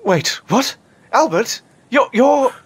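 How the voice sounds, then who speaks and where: A man playing a weary, bewildered adventurer in an audio drama, speaking in a halting, earnest way. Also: very high-pitched, expressive, slightly rough, heavily acted. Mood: pained, shocked. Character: weary, bewildered male adventurer returned from limbo, reflective and sincere.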